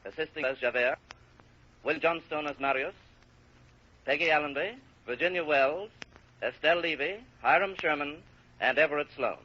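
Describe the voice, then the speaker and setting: Bright-voiced man, old-time radio announcer. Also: on the high side, normal speed, pauses often. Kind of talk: 1930s radio announcing, scripted credits. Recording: muffled, hissy old radio broadcast.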